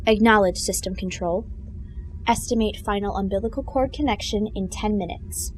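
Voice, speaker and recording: A woman plays a guarded starship communications officer who answers in clipped, formal phrases. Her voice sits at a middle pitch. A music score runs beneath. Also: normal speed, close to an everyday voice. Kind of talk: fan audio drama acting.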